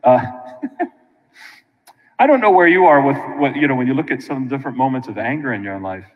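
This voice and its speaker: American priest preaching in a reverberant church. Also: male voice, mid-range voice, brisk, muffled recording. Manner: colloquial and animated, with frequent asides to listeners. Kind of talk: informal conversational sermon. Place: large church sanctuary, indoors.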